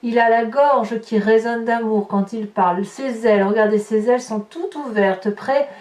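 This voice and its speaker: A French-accented woman reading tarot cards for viewers, talking her way through each card with hesitant pauses and repeated words. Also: a little high, a little mellow. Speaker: tarot reader. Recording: echoey room, voice from far off.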